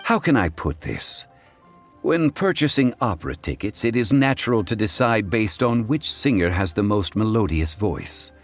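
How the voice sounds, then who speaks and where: An actor playing a calm, scholarly gentleman in a video game, speaking in a formal, eloquent, lecturing way. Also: fairly low pitch, moderate pace, soft background music. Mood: measured, courteous. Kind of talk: video game character dialogue.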